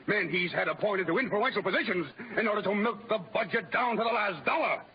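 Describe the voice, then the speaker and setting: High-pitched crusading civic spokesman. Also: fairly quick. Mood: indignant, insistent. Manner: declamatory, formal, insistent. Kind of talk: old-time radio drama acting. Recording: muffled old radio recording.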